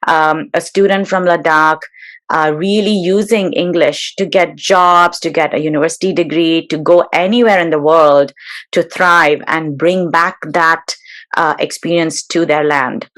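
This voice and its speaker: A woman hosts a webinar and interviews her guest over a video call. Her voice sits a little low for a woman. She speaks in a measured, slightly formal way, now and then pausing on a filler. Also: fairly even intonation, fairly bright tone. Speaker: webinar host.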